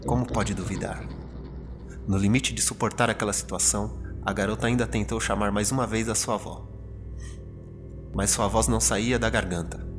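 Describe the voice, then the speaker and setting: A man's voice: on the low side, steady tone, fairly quick, background noise. A man with a Brazilian accent giving scripted, dramatic narration of a horror story. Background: prominent music.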